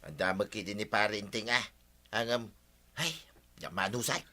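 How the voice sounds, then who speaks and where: Man acting a sharp-voiced, flustered village gossip. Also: lowish voice, moderate pace. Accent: Ilocano. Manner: stammering, hesitant, pleading. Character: flustered village gossip backing down when confronted. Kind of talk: Ilocano comedy radio drama acting.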